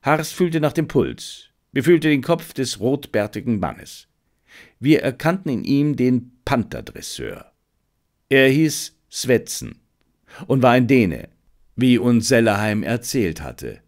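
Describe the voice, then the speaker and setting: A man's voice: mid-range voice, very animated, slow, darkish tone. A man narrating a detective adventure audiobook with dramatic, suspenseful delivery.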